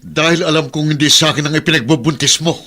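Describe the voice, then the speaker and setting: A man's voice: fairly high pitch, steady tone, fast talker, pushed and bright. A man with a Filipino accent playing a jealous, controlling rich husband in a radio drama, commanding and menacing. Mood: angry, threatening.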